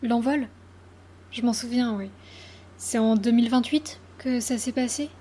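A woman's voice: fairly high pitch, steady tone, measured pace, dark tone, a little hiss or hum, close to an everyday voice. A woman playing a weary, blunt witness who hesitantly recalls a crisis in colloquial speech, for a radio fiction. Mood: weary, reflective. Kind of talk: mock-interview radio fiction.

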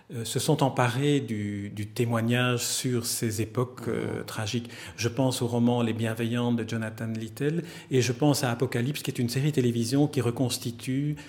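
A man's voice: mid-range voice, a little mellow. Man asking long formal interview questions. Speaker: journalist interviewer.

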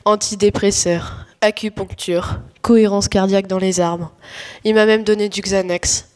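A woman's voice: mid-range voice, fairly even intonation, a little slow, darkish tone, clear, resonant tone, close to an everyday voice. A young woman playing a bored, melodramatic wife who whines to her best friend in slangy comic audio fiction, with an exasperated drawl. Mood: weary, deadpan. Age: teenager or young adult. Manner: whiny, exasperated, drawling complaints. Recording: echoey hall, live stage performance.

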